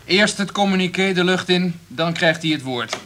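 An actor playing an impatient, sceptical radio station boss in a radio drama, curt and full of questions. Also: male voice, high-pitched, moderate pace, pushed and bright, a little hiss or hum. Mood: brisk, commanding.

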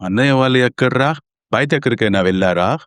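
A man's voice: medium pitch, fast talker, fairly bright tone, clean tone, dry recording, natural voice. A stern old patriarch played by a man in a family story. He speaks bluntly and with command in a mid-range voice, recorded very dry. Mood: puzzled. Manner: commanding, reproachful.

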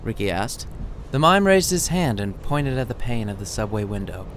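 A man's voice: lowish voice, very animated, normal speed, a bit bright, a little hiss or hum. A man reading his own short story as scripted narration, with a dramatic, playful storytelling delivery. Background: music under the voice.

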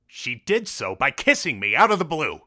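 A man's voice: on the high side, very animated, normal speed, pushed and bright, no room sound, studio quality, heavily acted. A man playing a stressed, stubborn cartoon echidna with a blunt, defensive manner in a fan audio drama. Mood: exasperated.

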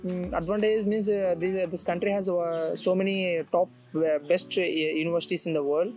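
A man's voice: sits high, steady tone, normal speed, darkish tone, faint music behind, low-quality recording. A young man from India, an international student, answering interview questions outdoors on a campus, hesitant with many fillers and restarts. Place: outdoors on a tree-lined campus.

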